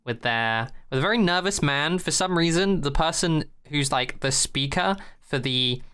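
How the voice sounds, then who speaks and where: Sarcastic young man, clear voice. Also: a little high, expressive, moderate pace, sharp tone. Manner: blunt, sarcastic, swears casually, mocks the show with exaggerated quotes. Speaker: podcast co-host. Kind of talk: casual chat.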